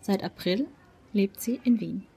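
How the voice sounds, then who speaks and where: A woman hosting a radio programme, reading a measured scripted introduction and interviewing a guest. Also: mid-range voice, mellow, a little hiss or hum. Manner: clear, measured delivery.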